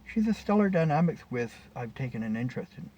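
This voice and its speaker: A man's voice: medium pitch, sing-song, fast talker, dull audio, close to an everyday voice. A man voicing a smug, boastful scientist who is suave and showy, in dialogue from a short story read aloud. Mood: reassuring. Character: smug, boastful male scientist wooing a passenger. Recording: distant mic with faint background noise.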